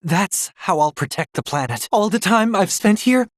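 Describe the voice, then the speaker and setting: An actor playing an earnest, idealistic devotee of the planet in a video game, sincere and plain in speech. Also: male voice, high-pitched, lively intonation, normal speed, no echo, clean studio sound. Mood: hopeful, earnest. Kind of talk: video game character dialogue.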